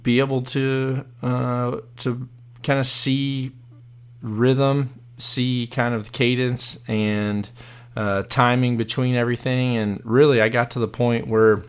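A hoarse man hosting a live podcast, chatting casually and rambling with many fillers and restarts. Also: medium pitch, flat delivery, slow. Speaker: podcast host.

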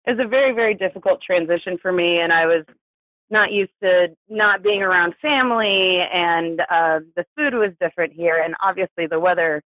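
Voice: mid-range voice, normal speed, no echo. Phone-like recording of an American in their thirties. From New Mexico, United States. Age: 30s. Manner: hesitant, chuckles through hard subjects. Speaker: interview guest, poet. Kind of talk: candid personal storytelling in an interview. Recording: tinny phone-like radio sound.

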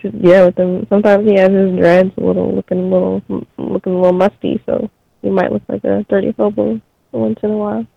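A woman's voice: mid-range voice, level tone, brisk, gravelly, husky, no room sound, lo-fi sound. A woman in her twenties in a casual podcast chat, playful and teasing, swearing freely. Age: 20s.

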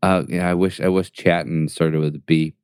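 A man co-hosting a podcast in casual chat, relaxed and playful, coining puns as he goes. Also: low voice, moderate pace, smooth. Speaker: podcast co-host.

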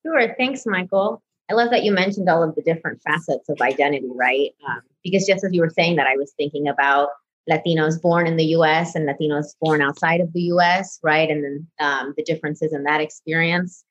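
A woman in her late twenties, born in Mexico and raised in the US. She has a slightly low voice and a friendly, chatty manner with lots of fillers. The audio is somewhat muffled. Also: brisk. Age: 20s. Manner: friendly, filler-heavy, uses "right?". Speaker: guest consultant. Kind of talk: casual panel conversation.

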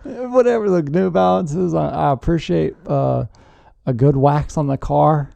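Low gravelly lady joking around on a podcast, dark mellow tone. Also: a little slow, dry recording, highs cut off. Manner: joking, teasing. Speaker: podcast co-host. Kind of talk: casual chat.

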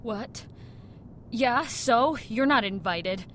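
A woman's voice: a little high, lively intonation, very slow, fairly bright tone, a little hiss or hum, exaggerated voice. A woman playing a hot-tempered, foul-mouthed young vampire slayer in a radio drama, curt and defiant.

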